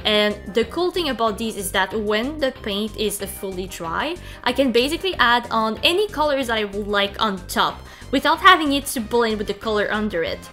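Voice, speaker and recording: A woman's voice: fairly high pitch, lively intonation, normal speed, hardly any pauses, bright, forceful tone, faint music behind. A young woman from Quebec with a French Canadian accent, chatting casually with lots of ums as she narrates her bullet journal video. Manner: chatty, many ums and uhs, light laughs.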